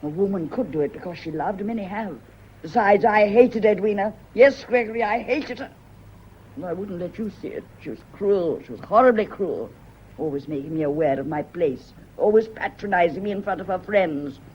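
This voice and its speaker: A woman's voice: medium pitch, normal speed, husky, close to an everyday voice. An actress with a British accent playing an anxious, wronged lady's companion in a radio drama, agitated and pleading. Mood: wounded, indignant. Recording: dull lo-fi radio recording, faint noise.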